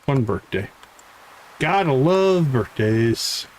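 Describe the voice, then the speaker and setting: A man with a middle-pitched voice gives casual, conversational game commentary on a livestream. There is noticeable noise behind his voice as he talks through what he is doing in the game. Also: sing-song, slow. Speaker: video game streamer. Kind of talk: casual livestream gameplay commentary, reading game dialogue aloud.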